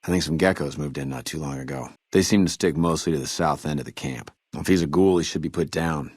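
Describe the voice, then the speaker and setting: An actor playing a weary, dutiful army trooper in a video game, speaking in a measured, earnest soldierly way. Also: male voice, very deep voice, a little mellow, husky, dry recording, clean studio sound. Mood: grave, businesslike. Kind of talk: video game dialogue acting.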